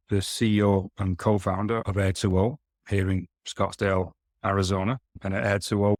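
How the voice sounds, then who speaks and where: Northern English man, Manchester accent, low and dry; relaxed guest, stumbles and restarts. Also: no room sound. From England. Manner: relaxed, frequent restarts and repeated words. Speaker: guest, company CEO. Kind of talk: casual interview conversation.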